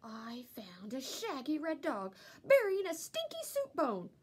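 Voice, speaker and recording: A woman reading a children's storybook aloud like a teacher, expressively and with animated delivery. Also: high-pitched, very noisy. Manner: animated, pausing to ask listeners questions.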